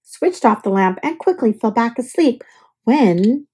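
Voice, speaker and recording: A woman reading a children's picture book aloud in an expressive, scripted storytelling style. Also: fairly high pitch, unhurried, breathy edge. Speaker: storybook reader.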